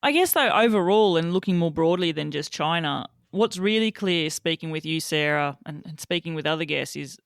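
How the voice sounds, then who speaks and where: A man's voice: on the high side, expressive, normal speed, creaky, hardly any pauses, a bit bright, no echo, clean studio sound. A man hosting a podcast, asking curious, long, winding interview questions. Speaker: podcast host.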